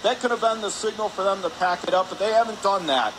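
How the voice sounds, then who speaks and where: A man calling live radio hockey play-by-play in clipped phrases from an ice rink. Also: sits high. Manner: clipped rapid phrases, rising on shots. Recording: clear background noise, arena ambience.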